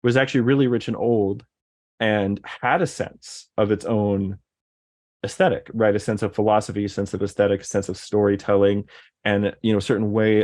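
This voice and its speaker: A man's voice: on the low side, a little mellow. A man in casual conversation, rambling, with many fillers and restarts. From American Deep South. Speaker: guest.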